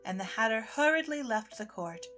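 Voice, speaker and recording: A woman's voice: fairly high pitch, expressive, normal speed, a bit bright. Woman narrating a storybook over music. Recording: music under the voice.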